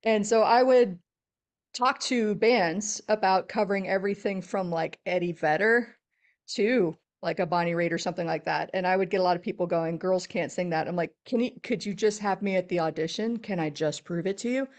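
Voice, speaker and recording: A middle-aged woman from Texas with a deep voice, a podcast guest chatting casually and telling animated stories. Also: normal speed. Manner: animated storyteller, laughs often. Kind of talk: casual interview chat.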